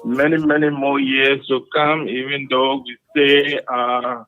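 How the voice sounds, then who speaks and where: A man in his fifties phoning in a birthday greeting, halting and full of fillers. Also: medium pitch, flat delivery. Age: 50s. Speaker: caller. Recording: tinny phone line with faint music.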